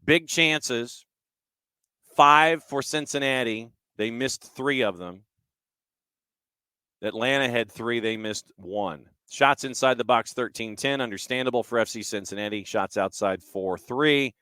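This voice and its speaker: Clear-voiced man hosting a soccer show. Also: mid-range voice, unhurried, bright, forceful tone, no room sound. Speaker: sports show host. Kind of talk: conversational match analysis and stats rundown.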